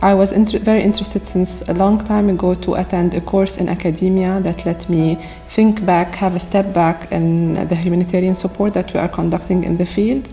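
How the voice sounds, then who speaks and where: A woman's voice: lowish voice, fairly even intonation, fairly quick, hardly any pauses, warm tone, soft background music. A woman from Lebanon who works in human rights, speaking formally and carefully in a seated interview. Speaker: human rights NGO worker. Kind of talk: interview testimony. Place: indoor room with curtain backdrop.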